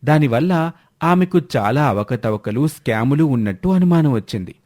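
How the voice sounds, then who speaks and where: A man's voice: medium pitch, animated, normal speed, darkish tone. Male moral-story narrator.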